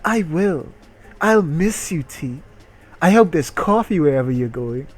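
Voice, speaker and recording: A man's voice: on the high side, lively intonation, measured pace. A man voicing a fond, casual young working woman in a comic story reading. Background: music.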